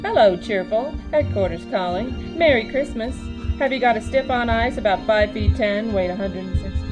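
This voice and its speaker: A woman's voice: fairly high pitch, normal speed, over music, natural voice, rough recording. A woman playing an official police dispatcher in a live radio drama, making clipped, repetitive announcements. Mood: cheery. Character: brisk, official police dispatcher with cheery irony. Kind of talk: old-time radio drama acting before a live audience. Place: live stage performance in a hall.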